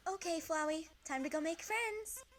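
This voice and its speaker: A woman's voice: very high voice, moderate pace. A woman voicing a cheerful young child in an amateur comic dub.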